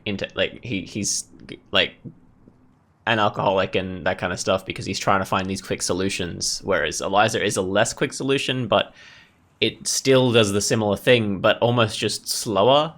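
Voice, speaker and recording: A man with a somewhat low voice hosts a let's play. He talks casually and rambles as he works through his thoughts aloud. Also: fairly bright tone. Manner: rambling, thinking aloud, mildly profane. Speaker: gaming video host.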